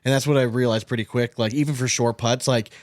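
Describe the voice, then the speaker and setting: A man's voice: medium pitch, steady tone, fast, dry recording, studio quality. An American man co-hosting a podcast, telling stories in informal, bantering chat. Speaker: podcast co-host. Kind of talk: casual chat.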